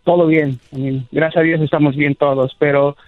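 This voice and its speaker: A man calling in to a radio show, adoring and halting, with many fillers and restarts. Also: fairly high pitch, fairly even intonation, dry recording. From United States. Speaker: radio caller. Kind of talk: phone call to a radio show. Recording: tinny phone line.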